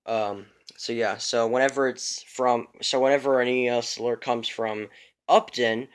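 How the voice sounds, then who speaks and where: A man's voice: medium pitch, fairly even intonation, a little slow, smooth. A man casually rambles through a show-and-tell of his radios at home, full of fillers and restarts, recorded on a phone. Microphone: phone camera.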